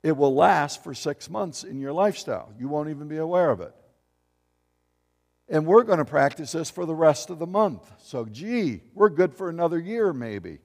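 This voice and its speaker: A man's voice: on the high side, gravelly, darkish tone, in a large hall. A man in his seventies preaching a sermon as pastor, reading scripture aloud and asking the congregation questions. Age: 70s. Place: church sanctuary.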